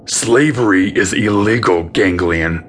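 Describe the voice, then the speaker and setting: A man's voice: lowish voice, slow pace, soft background music. A man voicing a stern, commanding male alien emperor in an audiobook. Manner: cold, commanding.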